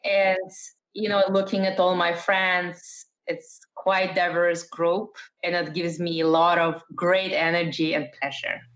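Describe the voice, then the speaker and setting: A woman's voice: fairly low pitch, little pitch movement, a little slow. Woman with a dull lo-fi sound. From Russia, raised in the Netherlands. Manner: thoughtful, many fillers and restarts. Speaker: interview guest, entrepreneur. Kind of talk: casual interview answers.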